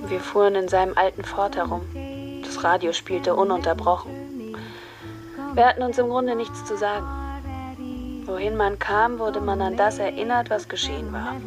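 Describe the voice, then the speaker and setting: A woman's voice: mid-range voice, moderate pace, pauses often, music in the background, close to an everyday voice. An actress playing a grieving, numb teenage girl in a radio drama monologue, with a subdued, detached manner. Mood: numb grief. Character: grieving, numb teenage girl recalling her dead friend.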